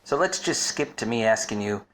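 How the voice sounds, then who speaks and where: A man playing a wisecracking, obtuse private detective in a deadpan 1940s radio noir parody. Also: lowish voice, normal speed, a little hiss or hum, rough recording. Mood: cocky, touchy. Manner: deadpan, hardboiled.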